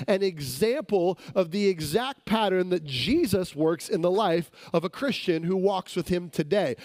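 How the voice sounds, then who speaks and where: A man's voice: high-pitched, a little mellow, dry recording. A man preaching a sermon to his congregation, emphatic and repeating words for stress, his voice strained from recent sickness. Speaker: pastor.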